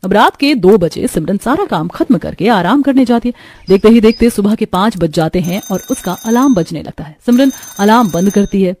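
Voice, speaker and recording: A woman reading scripted storybook narration for an animated cartoon, telling the action in the present tense. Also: mid-range voice, brisk.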